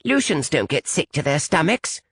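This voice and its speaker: Audiobook role: man voicing a prim complaining girl, high. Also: big pitch swings, moderate pace, fairly bright tone, slightly rough, no room sound, studio quality, full character voice. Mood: disgusted. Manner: complaining, scornful. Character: prim disdainful young girl captive.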